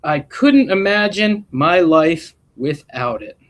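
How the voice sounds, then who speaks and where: Male read-aloud storyteller, principal type, higher-pitched voice with lively, expressive swings. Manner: expressive, deliberate storytelling delivery. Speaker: school principal reading to students. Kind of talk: live picture-book read-aloud with asides.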